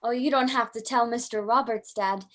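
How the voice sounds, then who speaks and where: Lady doing a perky young daughter, high voice, eager and teasing. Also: steady tone, normal speed, fairly bright tone, lightly acted. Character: young bride-to-be daughter, curious, spirited. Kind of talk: old-time radio drama acting.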